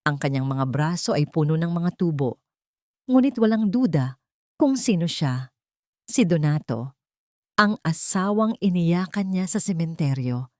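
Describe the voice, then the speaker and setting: A woman's voice: fairly low pitch, lively intonation, a little slow, pauses often, warm tone, no room sound, studio quality. A woman narrating a scripted drama story in a dramatic style.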